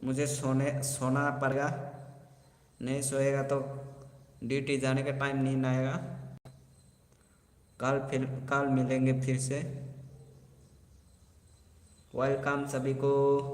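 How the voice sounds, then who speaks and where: A man's voice: mid-range voice, monotone, slow, long pauses, roomy sound, long reverb tail. A young man from Tripura whose first language is Kokborok, speaking Hindi with a Tripura accent. He chats casually on his live stream, greeting and thanking viewers and reading out their comments. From Tripura, India. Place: small echoey room, seated.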